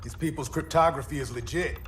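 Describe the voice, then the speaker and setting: A man's voice: fairly high pitch, fairly quick, a bit bright, a little hiss or hum, natural voice. A man as a brash cyborg hero, echoing. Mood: confident. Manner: brash, curt. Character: cocky, gruff adult male cyborg hero. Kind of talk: video game cutscene acting. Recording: echoing sound with music behind.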